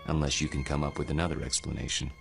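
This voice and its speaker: An actor playing a world-weary, grave and rueful immortal man in a radio drama, speaking in a measured, philosophical way. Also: rumbling low, level tone, gravelly, fairly bright tone, heavily acted. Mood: reflective. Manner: measured, philosophical, deliberate delivery. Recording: radio drama with music underneath.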